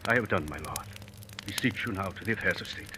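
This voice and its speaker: A man's voice: deep voice, fast, close to an everyday voice. An actor playing a bitter, grieving noble father in formal, stern, theatrical old radio Shakespeare style. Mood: wounded, resigned. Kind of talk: 1940s radio Shakespeare acting. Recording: noisy old radio broadcast.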